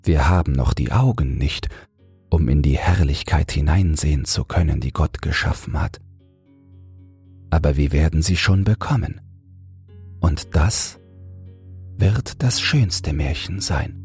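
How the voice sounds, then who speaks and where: A man narrating a bedtime fairy tale in a gentle, measured, soothing manner. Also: extremely low pitch, lively intonation, husky, faint music behind. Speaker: audiobook narrator and podcast host.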